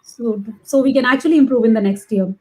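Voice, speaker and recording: High-pitched, effusive woman chatting. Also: fast, darkish tone. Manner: emphatic, effusive, repeats phrases for emphasis. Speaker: interview guest. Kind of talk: casual conversational talk.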